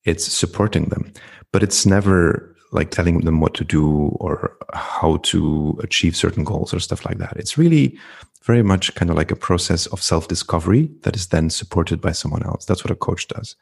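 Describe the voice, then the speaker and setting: A middle-aged man from Flanders, speaking as a podcast guest in a reflective, unhurried way with frequent ums. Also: low-pitched, creaky, husky. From Belgium (Flanders). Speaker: guest, entrepreneur and coach. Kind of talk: podcast interview conversation.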